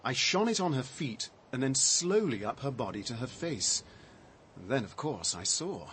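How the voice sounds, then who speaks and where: An actor playing a shaken, defensive man with a halting, reflective, dry delivery in a radio drama. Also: mid-range voice, very animated, a bit bright, a little hiss or hum. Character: shaken man recounting his discovery, defensive, sardonic.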